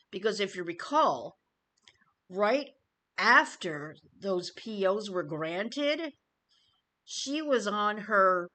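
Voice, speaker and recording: Slow-paced woman giving a YouTube update. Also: mid-range voice, a bit bright. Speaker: YouTube commentator. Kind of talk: informal news update to viewers.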